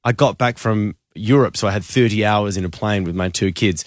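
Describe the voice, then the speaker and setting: A man's voice: fairly low pitch, dry recording, clean studio sound. A man, co-hosting a radio show and telling a story in casual banter. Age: 30s. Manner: animated storyteller, quick asides. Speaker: radio co-host.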